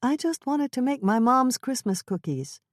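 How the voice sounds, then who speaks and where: A frazzled young mother near her breaking point, as a woman reads her, her voice climbing high and shrill as she lashes out. Her words come slow and clenched, then spill into sarcasm. The recording is close and dry. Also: lively intonation, no room sound. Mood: apologetic. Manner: shrill, tearful, sarcastic outbursts. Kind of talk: audiobook character voice.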